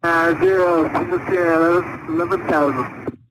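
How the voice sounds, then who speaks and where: Male pilot, higher-pitched, halting and repetitive radio readbacks, clearly noisy cockpit transmission. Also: steady tone. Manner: halting, repeats words. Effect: aviation radio.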